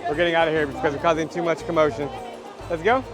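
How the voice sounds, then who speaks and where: An American man in his thirties speaks as a travel vlogger in a fairly high voice. His delivery is informal and enthusiastic, and music plays clearly behind him. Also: little pitch movement, quick pace, crowd noise. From United States. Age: 30s. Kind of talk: casual travel vlog.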